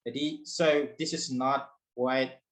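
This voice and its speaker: Guy giving a conference talk, lots of uh's and restarts, middle pitch. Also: fairly even intonation, slow. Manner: hesitant, many fillers and restarts. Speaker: academic presenter. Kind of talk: conference research presentation.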